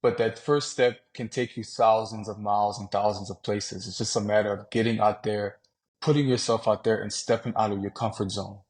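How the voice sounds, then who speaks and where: A man from Louisiana, a podcast guest, talking about travel in a relaxed, conversational way, often saying "man" and "you know". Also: lowish voice, a little fast. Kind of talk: casual interview talk.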